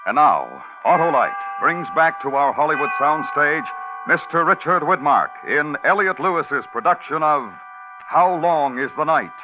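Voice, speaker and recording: A man formally introducing a vintage radio thriller with dramatic, measured announcing. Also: on the high side, expressive. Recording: tinny old radio recording with music. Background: loud dramatic music.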